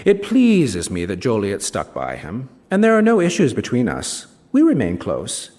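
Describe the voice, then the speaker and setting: A smug, ageing male novelist telling his own story to a hall. His pitch sits mid-range but swings very widely, with wry, theatrical emphasis. Long hall reverb surrounds the live stage reading. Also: wildly swooping pitch, normal speed, a little mellow. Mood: self-satisfied.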